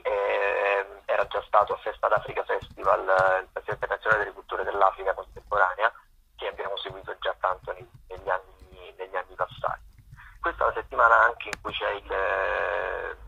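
Gravelly man on a tinny phone line. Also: lowish voice. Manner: relaxed, rambling, with many hesitations. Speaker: theatre critic and regular contributor. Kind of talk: weekly theatre listings over the phone. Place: at a festival, away from the studio.

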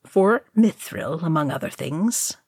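A woman voicing a wise old male wizard, explaining things in a measured way in a fantasy story. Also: low voice, lively intonation, slightly rough, dry recording, clean studio sound, full character voice. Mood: measured, wistful. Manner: diplomatic, explanatory.

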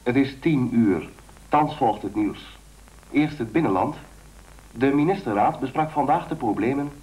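An actor playing a formal, measured radio newsreader delivering a scripted bulletin. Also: male voice, mid-range voice, expressive, a little mellow, lightly acted, rough recording.

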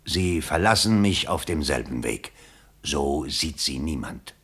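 An actor in a 1970s German radio play, playing a scheming, agitated bourgeois candidate with an urgent, commanding, theatrical delivery and a husky voice. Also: male voice, rumbling low, lively intonation, measured pace, background noise, full character voice. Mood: secretive, conspiratorial.

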